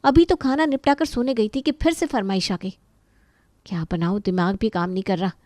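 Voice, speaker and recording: A woman's voice: mid-range voice, a little fast, a little mellow, dry recording, clean studio sound, close to an everyday voice. A woman voicing a young, overworked, resentful daughter-in-law who complains in exasperation in an Indian family story. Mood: weary grumbling.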